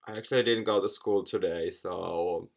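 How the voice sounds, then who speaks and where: A man's voice: sits low, fairly even intonation, moderate pace, creaky. Theatrical man reading a game aloud. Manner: theatrical, stumbles over written words. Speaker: video game let's player, host. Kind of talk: reading a visual novel aloud with casual commentary.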